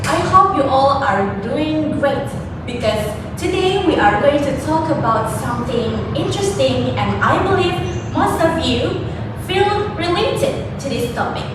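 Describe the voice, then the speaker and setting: A woman's voice: sits high, expressive, a little slow. A young woman hosting a talk show and reading from a prepared script. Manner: reads a prepared script with a singsong jingle. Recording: echoey hall from far off with loud noise.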